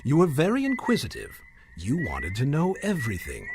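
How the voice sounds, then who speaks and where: Over clearly noisy ambience comes a sinister magician's mechanic who runs a carnival dark ride. He is courtly and mock-polite, with a theatrical delivery that swoops enormously in pitch, in the manner of an audio drama villain. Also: wildly swooping pitch, moderate pace. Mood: probing, sinister.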